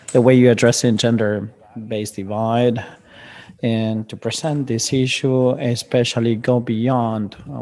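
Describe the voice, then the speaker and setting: A man's voice: fairly low pitch, darkish tone. A man giving a live interpretation of a panel moderator who thanks a speaker and introduces the next one, with loose and hesitant phrasing.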